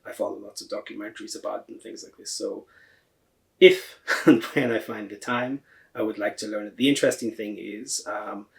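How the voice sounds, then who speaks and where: A man with a Northern Irish accent giving conversational answers as an interview guest, his voice creaky and gravelly. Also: fairly low pitch, brisk. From Northern Ireland. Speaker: podcast guest, translator and association chair.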